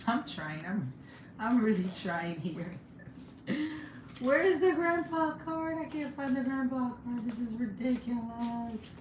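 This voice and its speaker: A young woman with a Jamaican accent chatting casually on a home livestream, laughing often and sometimes breaking into excited high exclamations. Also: measured pace. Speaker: livestreamer and mother. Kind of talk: casual live chat. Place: home room. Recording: echoey room with background noise.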